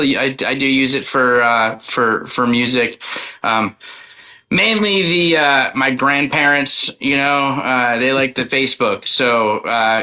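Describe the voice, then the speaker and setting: A man speaking as a musician guest, casual and meandering, pausing to find his words. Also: mid-range voice, sharp tone. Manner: hesitant, meandering, informal. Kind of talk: casual interview chat.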